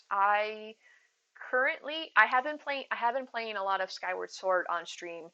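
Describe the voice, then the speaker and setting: Woman streamer, casual, soothing and bright voice, rambling and giggly chat. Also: mid-range voice, clean tone, lo-fi sound. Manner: chatty, rambling, giggly, addresses chat by name.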